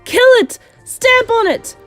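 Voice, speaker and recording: A woman voicing rowdy, bossy, scornful male kitchen workers, shouting orders in a storybook reading. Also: extremely high pitch, lively intonation, takes it slowly, faint music behind, voice pushed up, heavily acted. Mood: alarmed. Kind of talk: acted audiobook storytelling.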